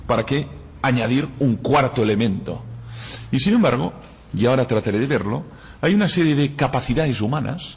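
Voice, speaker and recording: A man whose first language is Catalan gives a university lecture, reflective and explaining through analogies and rhetorical questions. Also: mid-range voice. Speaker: guest lecturer. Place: lecture hall. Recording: echoey lecture hall, husky voice.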